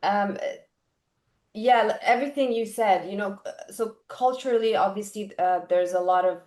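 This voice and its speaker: A woman's voice: mid-range voice, moderate pace, a little mellow. A woman from Iran, an actress guest, speaking candidly and personally in an interview. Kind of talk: casual interview. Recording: echoey room.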